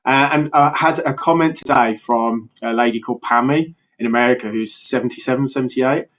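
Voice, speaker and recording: A man's voice: mid-range voice, brisk, a bit bright, slight background noise. Birmingham-accented man, chatty guest. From England. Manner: chatty, laughs often, many restarts. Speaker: podcast guest, health coach. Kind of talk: casual interview chat.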